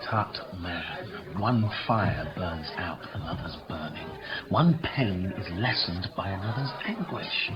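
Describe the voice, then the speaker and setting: An actor playing a brisk young noble friend, bantering and persuading in verse in a 1950s radio Shakespeare play. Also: male voice, low voice, expressive, music in the background. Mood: chiding. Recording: muffled old radio recording.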